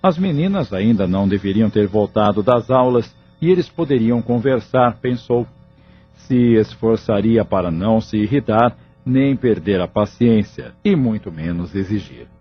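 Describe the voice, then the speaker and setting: A man narrates an audio novel in a scripted, dramatic style. His voice is husky and on the low side, recorded a little dully over soft music. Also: expressive, normal speed, muffled recording.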